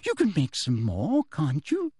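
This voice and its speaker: A man voicing a falsely sweet, menacing female headmistress who turns shrill and girlish when angry, in a dry close recording. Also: wildly swooping pitch, a little slow, darkish tone, slightly rough, no echo, heavily acted.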